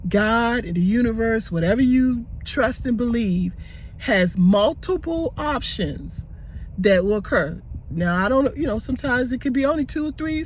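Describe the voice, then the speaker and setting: A man in his sixties, hoarse and raspy from tonsillitis, rambling earnestly through a daily gratitude vlog. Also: very high-pitched, slight background noise. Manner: rambling and earnest, many fillers and restarts. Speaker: gratitude vlogger. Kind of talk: casual spoken faith vlog.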